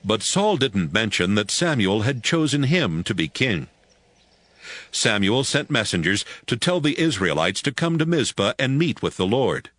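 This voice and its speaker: Lowish-voiced male narrator with lively pitch. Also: moderate pace, no room sound. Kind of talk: scripted dramatized Bible narration. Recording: faint music behind the voice.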